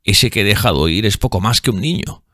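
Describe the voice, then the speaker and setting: A man's voice: on the low side, lively intonation, fairly quick, pushed and bright, no room sound, studio quality, voice pushed up. A man voicing an enraged sailor hunting his sister's seducer, speaking in a solemn, threatening way. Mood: bitter. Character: enraged male sailor, vengeful, grim.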